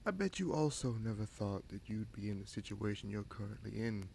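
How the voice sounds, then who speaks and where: Casting: male actor for a frank, wry bastard prince, fantasy audio roleplay. Lowish, creaky voice, musing delivery with long pauses, slight background noise. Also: animated, a little mellow. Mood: amused, earnest. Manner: measured, musing, pausing often mid-sentence. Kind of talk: fantasy audio roleplay, slow intimate monologue.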